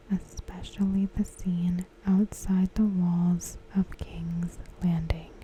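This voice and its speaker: A woman whispering softly and breathily in an ASMR style, giving commentary with gentle, deliberate phrasing. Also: fairly low pitch, slight background noise, low-quality recording. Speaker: ASMR creator.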